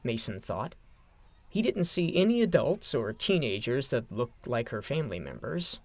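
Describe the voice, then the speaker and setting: A man's voice: mid-range voice, big pitch swings, normal speed, no echo. A man narrating a scripted story as an audio drama narrator.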